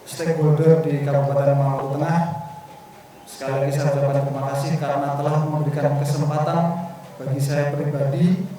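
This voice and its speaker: A man's voice: fairly high pitch, warm tone. Formal man speaking in a very echoey hall. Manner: formal, deliberate, with frequent hesitation fillers. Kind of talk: formal ceremonial speech. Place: anniversary ceremony venue. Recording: very echoey, distant mic, faint room noise.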